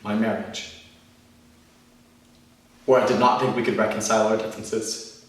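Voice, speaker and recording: A man acting a bitter, wounded, self-righteous church leader in a volatile, confrontational dramatic monologue, repeating phrases between long pauses. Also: on the low side, flat delivery, close to an everyday voice. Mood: bitter, controlled. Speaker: amateur actor performing a monologue. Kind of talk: dramatic film monologue performance. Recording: echoey room, far from the mic.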